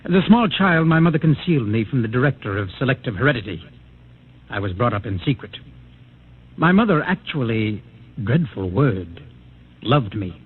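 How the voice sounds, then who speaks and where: An actor playing a wry, gentle, well-educated outcast specimen in a mid-century radio drama, articulate and theatrical. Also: male voice, medium pitch, big pitch swings, moderate pace, husky. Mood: wry, melancholy. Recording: tinny, noisy old radio recording.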